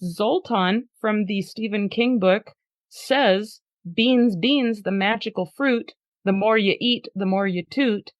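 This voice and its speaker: A woman's voice: medium pitch, slow pace, dry recording. A woman hosting a podcast in an upbeat, chatty way, laughing easily and also reading a poem aloud with drama. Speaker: podcast host.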